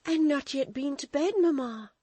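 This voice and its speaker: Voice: high voice, moderate pace, dark tone, dry recording, voice pushed up, lightly acted. A reader voicing a frightened, tender young daughter, pleading and near tears. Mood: anxious. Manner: pleading, tearful. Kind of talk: audiobook reading.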